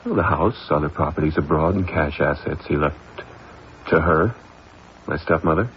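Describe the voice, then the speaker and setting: An actor playing an evasive, haughty company heir in a halting manner, in an old-time radio drama style. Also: male voice, rumbling low. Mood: guarded. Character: evasive, smug young company heir, accusing his stepmother. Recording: muffled old radio recording, faint noise.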